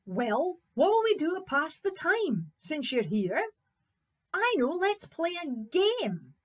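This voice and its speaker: A woman voicing a cheerful, unflappable young man from a folk tale, polite and matter-of-fact, gently bossy. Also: very high-pitched, big pitch swings, slow, voice pushed up, heavily acted.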